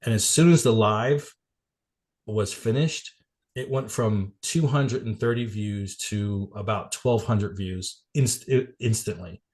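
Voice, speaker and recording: A man's voice: lowish voice, slow, a little mellow. A man getting over a cold, a little congested and coughing, giving a casual business presentation on a video call. He rambles with many fillers and restarts. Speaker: guest presenter at a networking meeting.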